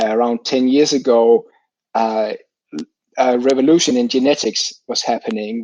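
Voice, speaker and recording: A middle-aged man from Denmark, explaining his scientific work as an interview guest in halting phrases with repeated words. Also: medium pitch, darkish tone, no echo, low-quality recording. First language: Danish.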